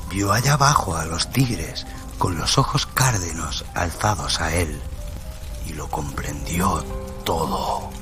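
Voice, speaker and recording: A man narrating a literary radio story in a solemn, deliberate, dramatic way. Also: low voice. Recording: loud music under the voice.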